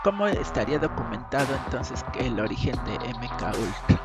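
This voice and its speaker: A man's voice: medium pitch, very animated, brisk. A man with a Mexican accent hosting a casual video, speaking hesitantly with many restarts as he asks questions. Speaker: host. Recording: loud music behind voice.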